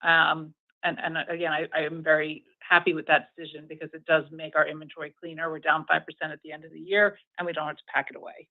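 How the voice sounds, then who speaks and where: A man's voice: on the high side, little pitch movement, fast, vocal fry. A male company executive answering analysts on an earnings call in an emphatic, confident manner, over a conference phone line.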